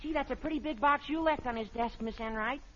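A man playing an eager, helpful, meddling teenage schoolboy with a squeaky youthful voice in a vintage radio sitcom. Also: fast talker, sharp tone. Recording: muffled old radio broadcast with hiss.

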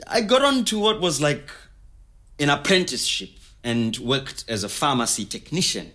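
A man's voice: fairly high pitch, lively intonation, normal speed, sharp tone. An actor playing an easygoing, wry man recalling his younger days in a conversational storytelling style. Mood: wry, reminiscing. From Zimbabwe. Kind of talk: scripted oral-history storytelling in conversational manner.

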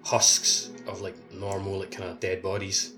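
Low male voice, casual podcast chat. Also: monotone, unhurried, fairly bright tone, soft background music. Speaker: podcast co-host. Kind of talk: casual chat about a video game.

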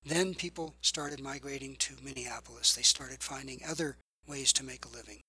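A man's voice: mid-range voice, steady tone, moderate pace, sharp tone, low-quality recording. An older man, an author and journalism professor, answering podcast interview questions thoughtfully, often repeating words as he searches for the phrase.

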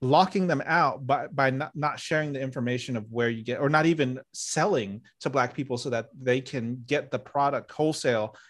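A man hosting a podcast in casual chat, easygoing and relaxed. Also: medium pitch, brisk, hardly any pauses. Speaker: podcast host.

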